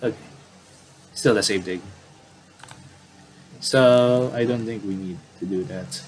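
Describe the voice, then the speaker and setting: A man's voice: fairly low pitch, slow pace, slight background noise, rough recording. A man commentating on a game playthrough, casually reading story text aloud and often stumbling and rereading phrases.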